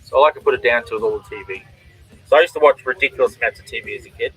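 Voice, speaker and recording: A man's voice: mid-range voice, very fast, fairly bright tone, slightly rough, faint music behind, poor audio. A man with an Australian accent hosting a drawing stream, chatting casually and reading out viewers' comments. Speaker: comic artist and stream host.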